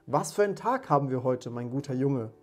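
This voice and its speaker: A man's voice: mid-range voice, lively intonation, a little fast, darkish tone, faint music behind, lightly acted. A man voicing a reformed old miser from a storybook, exclaiming with great emotion and emphasis. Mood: eager. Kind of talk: dramatised storybook reading.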